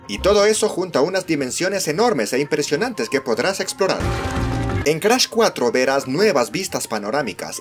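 A man with a Latin American Spanish accent reading scripted game trailer narration in an enthusiastic, promotional manner. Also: medium pitch. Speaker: voice-over narrator of a fan dub.

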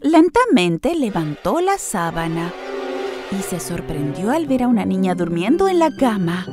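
A woman narrating a scripted children's story in an expressive storytelling manner. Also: mid-range voice, a little slow, music in the background.

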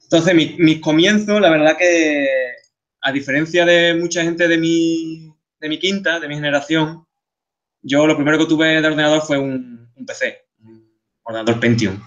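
A man in his thirties with an Andalusian Spanish accent, giving a relaxed, chatty talk over slides, with frequent restarts and fillers. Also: a little high, moderate pace, muffled recording. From Seville, Spain. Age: 30s. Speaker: guest speaker, game artist.

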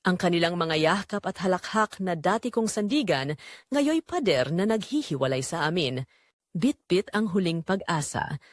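Female storyteller for a romantic tragedy: emotive, sorrowful, highly melodic delivery, dry close sound. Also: expressive, normal speed, dry recording. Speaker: first-person story narrator. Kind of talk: dramatic scripted romance drama narration.

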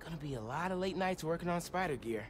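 An actor playing an easygoing teenage boy hero in a video game, with relaxed, casual city-kid talk. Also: a little high, fast, slight background noise, close to an everyday voice. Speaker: game character.

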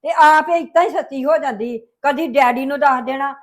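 A woman's voice: sits high, a little fast, natural voice. A woman acting a worried village mother who scolds her daughter-in-law and pleads with her son. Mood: complaining. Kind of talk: family drama acting.

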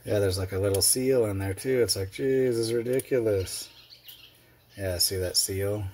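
Chatty low-voiced man in his garage. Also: normal speed, darkish tone, a little hiss or hum. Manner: rambling, chatty, self-deprecating with fillers. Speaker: garage video host. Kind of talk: casual workshop how-to narration. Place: cluttered home workshop garage.

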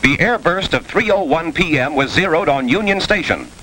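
High-voiced American emergency radio announcer. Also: male voice, animated, normal speed, pushed and bright. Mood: official, urgent. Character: urgent, official male civil defense radio announcer. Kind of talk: 1950s emergency radio bulletin. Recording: noisy old 1950s film soundtrack.

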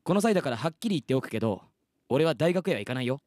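A man's voice: medium pitch, very animated, very fast, darkish tone, dry recording, clean studio sound, natural voice. A man playing an exasperated teenage schoolboy who throws out straight-man retorts in a comedic radio drama. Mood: indignant.

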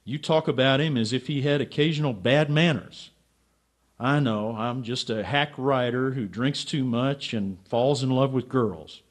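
Radio drama lead: male actor as stubborn, hard-drinking hack writer, bitter and brooding, mid-pitched. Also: unhurried, lightly acted. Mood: self-mocking, lovelorn. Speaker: actor and narrator. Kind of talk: radio drama acting and first-person narration.

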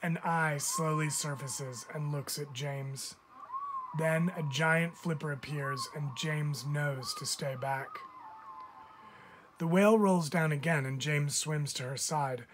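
A man reading a children's picture book aloud like a teacher, with expressive emphasis. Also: on the high side, takes it slowly, noisy background. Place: indoors, book filmed from above.

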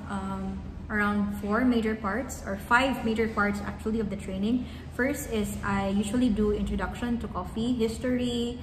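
Young Filipino woman, coffee trainer, casual to camera; roomy cafe with long echo and steady noise behind. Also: medium pitch. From Philippines. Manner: chatty, adds tag "no?" often. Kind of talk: casual vlog talk, reading feedback aloud. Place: large cafe, indoors. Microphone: camera close in front.

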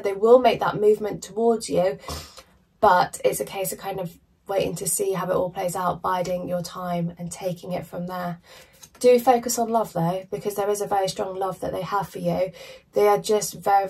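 A woman in her thirties doing a chatty, emphatic tarot reading to camera in a small room, her voice going hoarse. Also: medium pitch, darkish tone, recorded from a distance, rough recording. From United Kingdom. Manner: chatty, emphatic, casual swearing. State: losing her voice, coughing. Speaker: tarot reader. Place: small decorated room indoors.

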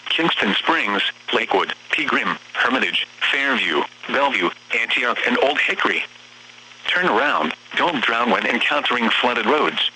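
Bright, synthetic male weather radio announcer. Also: mid-range voice, slightly rough. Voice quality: synthetic text-to-speech voice. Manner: formal, measured, impersonal. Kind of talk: scripted weather bulletin reading. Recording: noisy, humming weather radio broadcast.

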